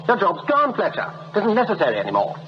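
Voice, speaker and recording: A cool, brisk company director in a radio drama, patronising under smooth politeness. His pitch swoops dramatically from phrase to phrase. The track is dull and hissy. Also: huge pitch swings, long reverb tail.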